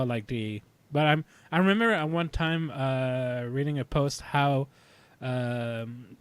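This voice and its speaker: A man in a casual fan chat, speaking haltingly and often repeating words as he goes. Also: mid-range voice, no echo. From Canada. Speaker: podcast panelist.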